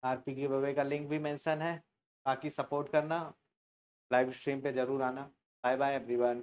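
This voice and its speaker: A man's voice: mid-range voice, little pitch movement, normal speed, noisy background. A young man giving casual gaming commentary. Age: young adult. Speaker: gaming YouTuber. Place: small room indoors.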